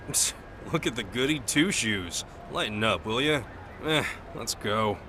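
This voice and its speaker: An actor with an American accent playing a cocky, slangy, grumbling soldier in a video game cutscene. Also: male voice, mid-range voice, lively intonation, a little slow, background noise, lightly acted. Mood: disgusted, teasing. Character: cocky, gruff young male soldier, slangy thrill-seeker.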